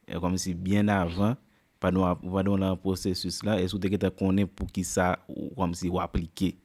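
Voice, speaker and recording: A man's voice: deep voice, level tone, moderate pace, darkish tone. A man with a Haitian accent interviewing casually as a host, often echoing and affirming what he hears. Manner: brief affirming responses, echoes the guest.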